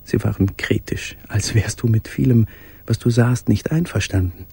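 An actor playing a brooding, tender musician in a literary radio drama, speaking in an intimate, measured way with a husky voice. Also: male voice, on the low side, a little mellow, exaggerated voice. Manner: intimate, measured, sometimes dropping to a whisper. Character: brooding, tender male musician writing to his beloved. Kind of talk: literary radio drama monologue with recited verse.

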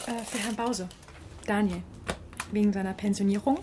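A woman's voice: medium pitch, steady tone, normal speed, noisy background, lightly acted. A young woman playing a hesitant but dogged office intern in a radio drama, tentative and earnest. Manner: tentative, earnest, filler-laden. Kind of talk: radio drama dialogue and narration.

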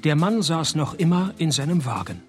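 An actor playing a laconic, cynical private detective who narrates and talks in a dry, deadpan way in a radio play. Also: male voice, on the high side, moderate pace, faint music behind. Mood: deadpan narrating.

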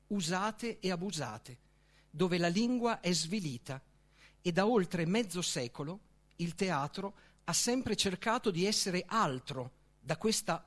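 Slow, high-pitched, expressive male literary reader. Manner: solemn, theatrical, deliberate phrasing with dramatic pauses. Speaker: author reading from their own book. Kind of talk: expressive literary reading aloud.

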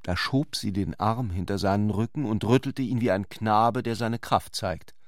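A man's voice: lowish voice, normal speed, no room sound, studio quality. A man narrating a literary radio drama from a script.

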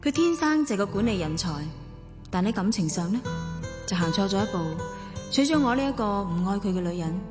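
A woman's voice: medium pitch, animated, fairly quick, over music, close to an everyday voice. An actress playing a reserved, wistful married woman in a radio drama, with a Hong Kong Cantonese accent. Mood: wistful, reflective. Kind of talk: radio drama acting with inner monologue.

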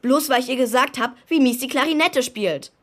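A cheeky young boy hero in a children's radio play. The voice is high and youthful, with a blunt, unfiltered way of talking. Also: fairly quick, fairly bright tone. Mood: matter-of-fact.

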